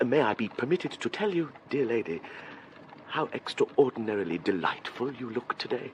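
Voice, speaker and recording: An actor plays a smarmy British clergyman in a period radio play. He is formal and effusively flattering, his pitch rising and falling freely. Faint background ambience sits behind him. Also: normal speed, slight background noise, lightly acted, rough recording. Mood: smooth flattery. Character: unctuous, flattering clergyman suitor, falsely pious. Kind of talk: period radio drama acting.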